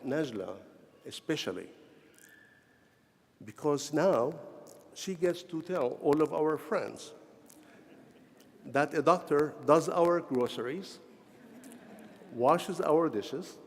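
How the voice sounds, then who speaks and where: A middle-aged or older man from Syria with a Syrian Arabic accent gives a formal, prepared ceremonial speech in measured, deliberate short phrases. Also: on the high side. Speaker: honorary doctorate recipient giving convocation address. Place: large convocation hall, speaking to graduates. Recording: echoey large hall with long reverb.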